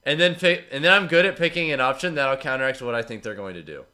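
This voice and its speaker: A man with a stuffy, congested voice from a cold, chatting casually with his stream viewers and reading out their messages in a relaxed, rambling way. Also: mid-range voice, quick pace. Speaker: streamer analyzing tournament matches.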